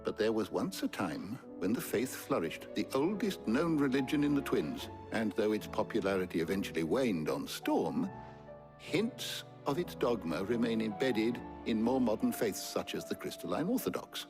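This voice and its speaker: A man's voice: on the low side, very animated, normal speed, music in the background. A man playing a learned, courteous old lore-keeper in a video game, with a formal, musing storyteller's manner. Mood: scholarly, intrigued.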